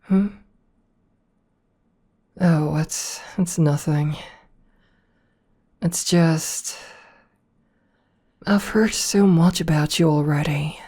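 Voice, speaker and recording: Woman doing a possessive rich ceo lady for asmr, real slow and mellow, formal but coaxing. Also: low-pitched, fairly even intonation, breathy edge, full character voice. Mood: politely attentive. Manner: slow, softly formal, coaxing with pet names. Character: rich, possessive, seductive female CEO, politely commanding. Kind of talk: ASMR roleplay acting.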